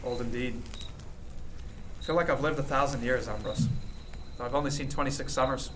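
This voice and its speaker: A man's voice: mid-range voice, brisk, a little hiss or hum, lightly acted. A man playing a melancholy, commanding ship's captain in his twenties in a period seafaring audio drama. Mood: wistful, wry.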